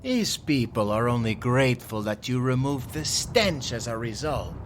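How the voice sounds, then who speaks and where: A man's voice: mid-range voice, lively intonation, moderate pace, slight background noise, lightly acted. A man voicing a proud, easily offended vampire mage duellist in an audio roleplay, grandiose, indignant and prone to swearing. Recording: music behind the voice.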